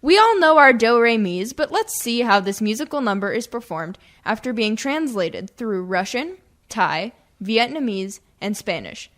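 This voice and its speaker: A woman announces a scripted comedy show in a fairly high voice. Her delivery is theatrical and playful, with very lively swings in intonation. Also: a little slow. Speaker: host, announcer.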